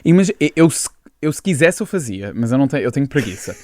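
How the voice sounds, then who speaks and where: A man's voice: mid-range voice, no room sound, clean studio sound. A young adult man from Brazil with a European Portuguese accent, a singer chatting casually as a radio interview guest. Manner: relaxed, quick to laugh, chatty with restarts.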